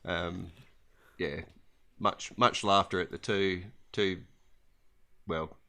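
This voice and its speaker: A man's voice: low voice, fairly even intonation, slow, vocal fry, sharp tone. A man co-hosting a casual podcast, hesitant with many ums and a dry wit. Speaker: podcast co-host. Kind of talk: casual chat.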